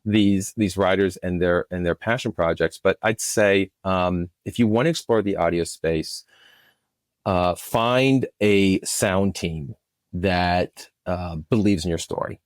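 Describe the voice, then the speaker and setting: A man's voice: deep voice, takes it slowly, dry recording. A man speaking casually as an interview guest, often repeating words as he thinks his way through an answer. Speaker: producer, podcast guest.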